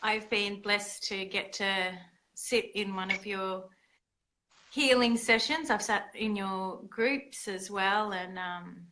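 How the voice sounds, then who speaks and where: Woman host, very slow talker, bright tone, hesitant, many fillers. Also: medium pitch, poor audio. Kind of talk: casual conversation.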